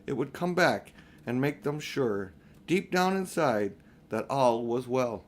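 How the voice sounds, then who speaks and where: An older man narrating a children's audiobook in a deliberate, phrase-by-phrase manner. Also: medium pitch, expressive. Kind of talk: scripted storybook narration.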